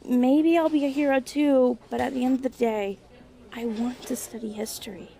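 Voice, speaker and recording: A woman's voice: high voice, steady tone, vocal fry, mellow. A woman acting a hesitant, apologetic young college student in a naturalistic audio drama. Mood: grateful, firmer. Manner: halting, apologetic, unsure. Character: hesitant young female college student, hurt but quietly resolute.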